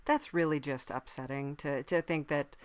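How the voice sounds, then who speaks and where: A woman's voice: low-pitched, fairly even intonation, moderate pace, smooth, no echo, lightly acted. A woman playing a mild-mannered, friendly demon who hosts a comic podcast, speaking politely and hesitantly. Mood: mild, droll. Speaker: podcast host. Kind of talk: improvised comic interview in character.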